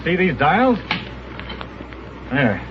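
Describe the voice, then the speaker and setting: An actor playing a confident male space hero, brisk and authoritative, in 1930s radio serial style. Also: on the high side, big pitch swings, exaggerated voice. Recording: dull, noisy 1930s radio recording.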